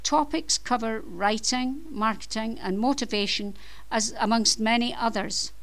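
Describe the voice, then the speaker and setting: A woman's voice: a little high, measured pace, a bit bright. A middle-aged Scottish woman with a Scottish accent hosting her own podcast, reading a scripted introduction in an upbeat, chatty way with playful self-deprecating asides. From Scotland. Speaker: podcast host and author.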